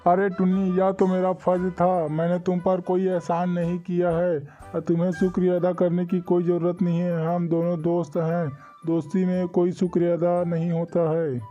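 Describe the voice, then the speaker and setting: A big, dutiful storybook eagle, played by a man, offers calm reassurance. The voice is high for a male and softly dark, with little melodic movement, in a muffled lo-fi recording. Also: normal speed, few pauses, faint music behind, lightly acted. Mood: modest, friendly. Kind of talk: Hindi animated moral story dialogue.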